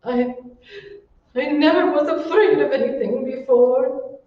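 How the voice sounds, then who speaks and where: A woman playing an imperious, shrewish wife in an old-time radio horror play, with a shrill, scornful, commanding manner. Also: a little slow. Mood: dread, weary. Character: imperious, shrewish wealthy wife, bossy, panicked by a ghost. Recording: muffled, very echoey, distant stage recording.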